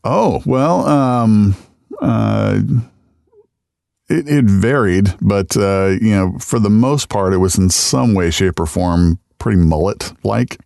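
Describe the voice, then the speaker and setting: A middle-aged man, a radio voice talent, chatting casually in an interview, relaxed and self-deprecating, with many fillers. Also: lowish voice, takes it slowly, vocal fry, no room sound, studio quality. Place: podcast studio.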